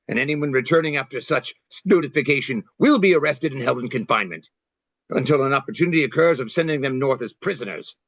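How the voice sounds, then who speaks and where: A man acts out a stern American Civil War general with a slightly high voice. He is formal, clipped and commanding, as in a stage play reading. The sound is dull and very dry. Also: dry recording. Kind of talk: amateur play table reading. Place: online video-call reading.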